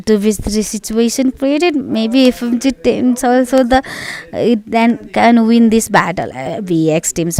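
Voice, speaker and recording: A woman's voice: medium pitch, smooth. Nepali-accented woman casting esports live. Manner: halting non-native English, frequent fillers. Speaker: esports caster.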